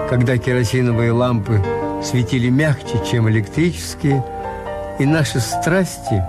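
An actor in a radio drama playing a skeptical, world-weary hotel owner who narrates in a measured, understated way. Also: male voice, medium pitch, close to an everyday voice.

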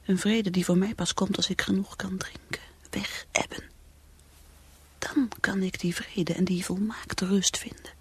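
Breathy, troubled alcoholic woman. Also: steady tone, unhurried, no room sound. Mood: anguished, weary. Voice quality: breathy, often whispering. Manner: confiding, wavering, highly emotional. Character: troubled alcoholic woman, self-doubting, desperate, craving peace. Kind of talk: radio play acting, intimate monologue.

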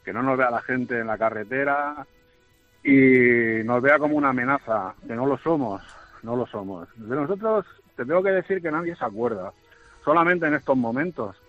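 A man's voice: mid-range voice, normal speed, no room sound. A nervous man with an accent from Spain calling a radio show as a truck driver, emphatic and plaintive, repeating himself. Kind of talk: phone-in testimony. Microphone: phone call. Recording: dull radio phone line, faint music.

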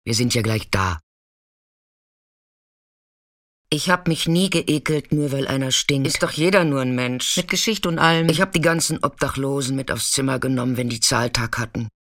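A woman's voice: very deep voice, a little fast, no room sound, clean studio sound. An actress playing a chatty, caring, streetwise female sex worker in an intimate, colloquial radio drama monologue. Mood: matter-of-fact caring.

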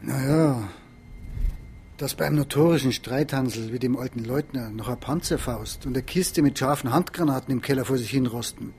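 An actor playing a stubborn, wry police detective in a radio drama, understated. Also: male voice, mid-range voice, normal speed, darkish tone, slight background noise, natural voice. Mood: sardonic.

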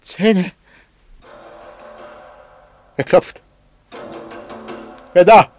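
An actor playing a guilt-ridden, hallucinating murderer who repeats himself obsessively in a poetic radio drama. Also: male voice, high-pitched, steady tone, extremely slow, darkish tone, faint music behind. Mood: agitated, accusing. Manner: obsessive repetition, swinging from whispering to crying out. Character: guilt-ridden child murderer, tormented, hallucinating, crumbling in terror.

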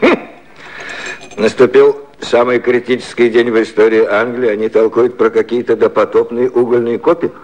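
A man's voice: mid-range voice, little pitch movement, normal speed, a little mellow, lightly acted, low-quality recording. A man playing a grumbling, theatrical British prime minister in a 1950s satirical radio play. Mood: grumbling, ironic. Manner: theatrical, grumbling, interjects with hums and muttering. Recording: old 1950s radio recording.

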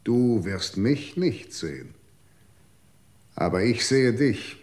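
A stern, solemn authority. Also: male voice, fairly low pitch, very animated, slow pace, darkish tone. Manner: solemn, commanding recitation. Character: stern man administering a solemn vow. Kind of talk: radio drama acting.

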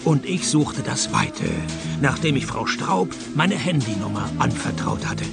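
An actor playing a wry, cynical private detective in a radio play, narrating and talking in a dry, sardonic manner. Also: male voice, mid-range voice, expressive, a little slow, vocal fry, over loud music.

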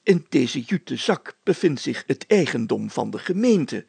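A man's voice: mid-range voice, very animated, gravelly, a little mellow, slightly rough, dry recording, pitched above the performer's own voice, full character voice. A man voicing a pompous, fatherly and easily flustered village mayor in a storybook, stammering and drawing out his phrases. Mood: indignant. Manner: stammering, deliberate, drawn-out phrasing.

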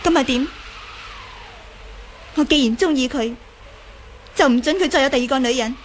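A woman's voice: sits high, normal speed, lightly acted. An actress playing a bitter, defiant young martial arts woman in a Cantonese radio drama. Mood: defiant, vengeful. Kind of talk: Cantonese audio drama acting. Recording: faint background noise.

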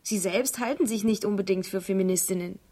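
A woman's voice: mid-range voice, brisk, dark tone. A woman reading an interviewee's words as a documentary voice-over. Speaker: voice-over translator.

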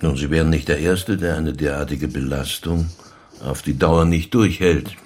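An actor playing a probing police inspector in a radio crime drama, measured, formal and insinuating as he questions. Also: rumbling low, fairly even intonation, a little mellow, breathy edge. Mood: probing, suspicious. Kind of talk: naturalistic German radio play interrogation.

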